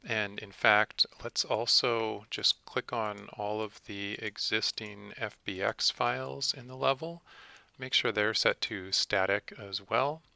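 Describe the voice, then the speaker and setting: A man narrating a step-by-step software tutorial in a methodical, instructional manner. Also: on the low side, steady tone, slow pace, creaky, dry recording.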